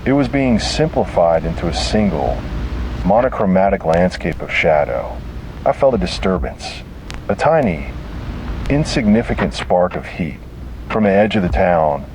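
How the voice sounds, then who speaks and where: Casting: male horror narrator, deep register, grave and measured delivery in short deliberate phrases, over a noisy recording. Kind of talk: scripted first-person horror story narration.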